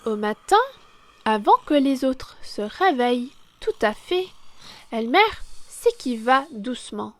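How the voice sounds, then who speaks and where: Slow, high-voiced woman reading a children's story. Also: pushed and bright. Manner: slow, deliberate, theatrical storytelling with emphasis on key words. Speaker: children's story reader, French teacher. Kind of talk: expressive read-aloud of a children's picture book.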